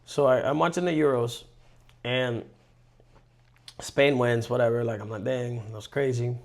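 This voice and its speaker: A man in his thirties from Colombia, telling stories in a rambling, animated way on a casual podcast. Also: medium pitch, normal speed, vocal fry. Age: 30s. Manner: rambling, animated storyteller with restarts. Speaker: podcast co-host. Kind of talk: casual chat.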